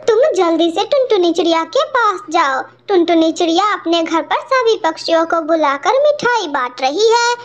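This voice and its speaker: A cunning, greedy female crow from a children's cartoon, acted out by a woman, pitched extremely high. She is boastful and brash in the way she talks. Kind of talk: Hindi children's cartoon dialogue.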